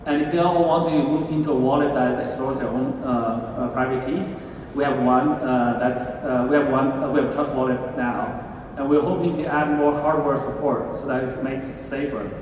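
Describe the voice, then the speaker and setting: A man speaking on a conference panel, talking in halting stretches with many restarts and fillers. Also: medium pitch, noisy background. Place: large conference hall stage. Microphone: handheld mic, filmed from far in the audience. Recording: echoey hall filmed from far off.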